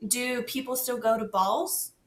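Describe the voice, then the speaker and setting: A woman's voice: fairly high pitch, steady tone, a little slow, a bit bright. A woman teacher leading a lesson patiently, guiding with questions. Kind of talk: scripted classroom lesson. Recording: echoey room, distant mic.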